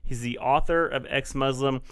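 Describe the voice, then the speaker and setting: A man's voice: medium pitch, moderate pace, clear, resonant tone. A man hosting a podcast who introduces his guest in an upbeat, joking way. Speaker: podcast host. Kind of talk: casual interview chat.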